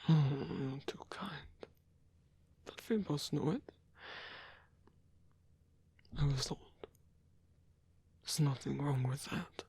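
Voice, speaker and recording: Husky, whispering old male vampire, acted by a man. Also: fairly high pitch, exaggerated voice. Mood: wistful, musing. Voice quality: breathy, husky half-whisper. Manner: slow, halting, softly teasing. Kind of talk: ASMR roleplay.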